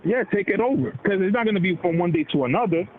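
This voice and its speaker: Animated man on a tinny, noisy line. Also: sits high, quick pace. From Dominican heritage. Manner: animated, slangy, puts on quoted voices. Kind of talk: casual political chat. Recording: tinny phone-like line with noise.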